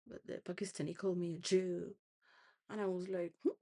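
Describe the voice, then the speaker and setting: A woman's voice: lowish voice, fairly even intonation, a little fast, dark tone, husky. A woman rambling through a personal monologue, full of restarts and wandering thoughts, sometimes laughing between phrases. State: homeless.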